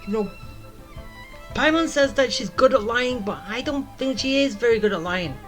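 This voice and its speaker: A woman's voice: a little high, animated, normal speed, fairly bright tone. A woman giving casual commentary as she plays through a video game. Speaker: gaming streamer. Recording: game music behind the voice.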